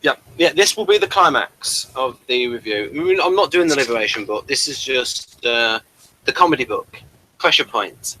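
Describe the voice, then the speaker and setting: A man's voice: medium pitch, lively intonation, normal speed, pushed and bright, slight background noise, rough recording. A man hosting a review show, reading aloud from an episode guide and breaking off for informal, off-the-cuff remarks.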